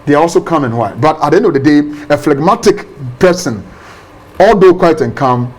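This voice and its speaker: A man, a pastor explaining ideas in an interview, animated and often repeating words. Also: fairly high pitch, fairly quick, breathy edge. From Ghana.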